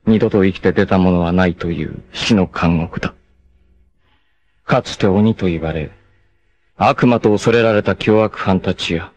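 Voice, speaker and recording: An actor playing a proud, cool martial arts warrior in a 1980s anime, with a sardonic, dramatic delivery. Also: male voice, fairly low pitch, darkish tone, close to an everyday voice. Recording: noisy 1980s anime soundtrack.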